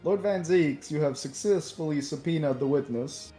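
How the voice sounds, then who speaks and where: A man's voice: a little high, moderate pace, mellow, faint music behind, close to an everyday voice. A man voicing a grave, formal courtroom judge in an amateur video game dub. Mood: stately. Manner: stately, formal.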